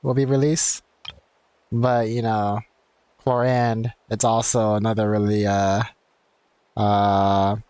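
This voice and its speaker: A man's voice: lowish voice, little pitch movement, slow, pauses often, darkish tone, smooth, no room sound. A man giving casual live gaming commentary as a streamer, rambling and thinking aloud. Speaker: game streamer.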